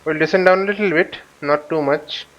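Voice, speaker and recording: Higher-pitched man, muffled mic. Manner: halting, explanatory, thinking aloud. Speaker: flight simulator instructor. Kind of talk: informal tutorial commentary while flying a simulator.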